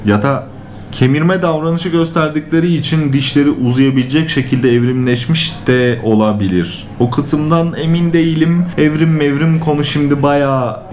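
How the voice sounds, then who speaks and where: A man's voice: a little high, normal speed, darkish tone, a little hiss or hum. A man in his thirties hosting a vlog with casual, joking, teasing talk. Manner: playful, teasing. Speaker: vlog host at a vet clinic. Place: small indoor room and veterinary exam room. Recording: echoey small room, faint music.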